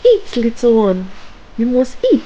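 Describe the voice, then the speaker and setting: A sinister old storybook witch, acted out by a woman, with a raised, theatrical voice. She coaxes with cloying, drawn-out words that carry menace, over clearly audible background ambience. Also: mid-range voice, mellow, muffled recording, a little hiss or hum, voice pushed up, natural voice. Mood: falsely sweet. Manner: cloyingly sweet, drawn-out coaxing.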